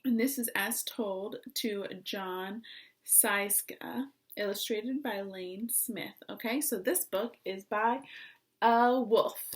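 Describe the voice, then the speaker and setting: A woman's voice: mid-range voice, slow pace. A woman speaking as a teacher, introducing and explaining a picture book to young listeners. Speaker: teacher reading aloud to children. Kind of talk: picture book read-aloud.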